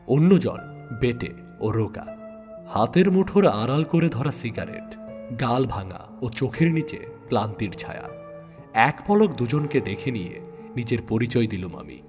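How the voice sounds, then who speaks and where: A man tells a suspense story in a dramatic, measured narrator's style. His mid-pitched voice rises and falls with lively expression, and he leaves deliberate pauses between phrases. Music plays clearly behind him. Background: background music.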